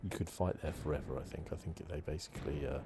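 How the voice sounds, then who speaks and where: A soft-spoken man giving relaxed, mildly chatty ASMR commentary as he plays a video game. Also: extremely low pitch, fast, gravelly, heavy background noise. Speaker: gaming channel host.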